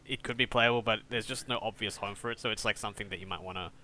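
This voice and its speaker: A man's voice: fairly low pitch, steady tone, racing, pushed and bright. A man co-hosting a podcast, for whom English is a second language. He talks casually and teases the others in a jokey way. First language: not English. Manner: teasing, jokey. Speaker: podcast co-host. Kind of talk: casual chat.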